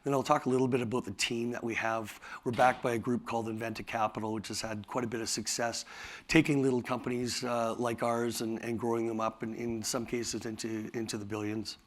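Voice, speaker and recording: A man with a raspy voice, tired from talking all day, presenting his company at a conference in a halting way, with many fillers and restarts. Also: mid-range voice, level tone, a little hiss or hum. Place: conference hall.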